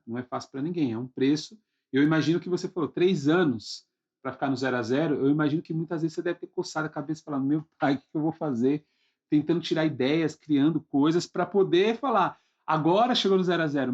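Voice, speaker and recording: A man with a husky voice and a Brazilian Portuguese accent, hosting a podcast in a casual, encouraging way. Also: a little high, fast, darkish tone. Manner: encouraging, informal. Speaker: podcast host. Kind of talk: casual conversational interview. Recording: dull lo-fi sound, faint music.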